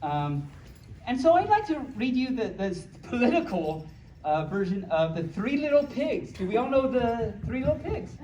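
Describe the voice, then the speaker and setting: A playful storyteller reading picture books aloud to a small audience, with childlike, cutesy phrasing and excited asides. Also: mid-range voice, moderate pace, muffled recording. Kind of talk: playful live story time with ad-libbed asides. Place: small room at a convention. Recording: echoey room from far off, faint noise.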